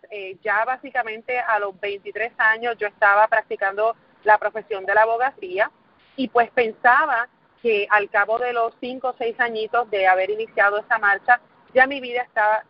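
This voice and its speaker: A woman's voice: a little high, dry recording, muffled recording. A Puerto Rican woman in her forties giving a motivational presentation, earnest and often repeating words for emphasis while asking the audience questions. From Puerto Rico. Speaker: motivational speaker.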